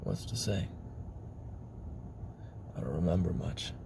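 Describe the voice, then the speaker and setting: A man playing a weary, guarded former assassin in a confessional audio roleplay, murmuring haltingly with a gravelly, breathy voice. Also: deep voice, very animated, background noise, exaggerated voice. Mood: bitter, weary. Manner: halting, hesitant, self-doubting, trailing pauses.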